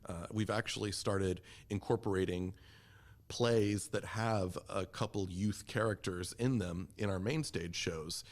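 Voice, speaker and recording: A man with a fairly low voice chats as an interview guest. He talks in a casual, conversational way, sounding keen and encouraging about what he does. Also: measured pace. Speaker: community theater representative, podcast guest.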